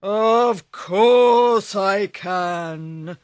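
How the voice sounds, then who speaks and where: A woman voicing a big, gruff male ogre who speaks slowly and threateningly in a storybook reading. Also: no echo, studio quality. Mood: menacing. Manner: slow, booming and threatening.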